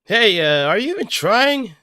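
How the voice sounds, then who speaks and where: A man's voice: high voice, very animated, normal speed, pushed and bright, no echo, studio quality, voice pushed up, heavily acted. A man voicing a cocky, taunting mecha pilot from a robot war game in a mocking manner. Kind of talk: game battle-line acting.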